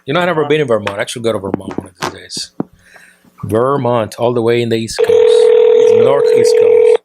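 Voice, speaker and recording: A man's voice: medium pitch, normal speed, background noise. A man co-hosting a TV talk show, chatting upbeat and backing up the host. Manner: upbeat, agreeable, many short responses. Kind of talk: casual chat. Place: TV studio.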